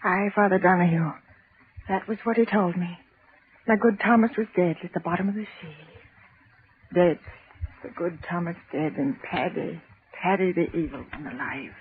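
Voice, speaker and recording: A woman's voice: lowish voice, moderate pace, close to an everyday voice. An old widow, voiced by an actress, speaks in a sorrowful, confiding tone. Her voice comes through a tinny old broadcast with faint hiss. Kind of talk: old-time radio drama narration.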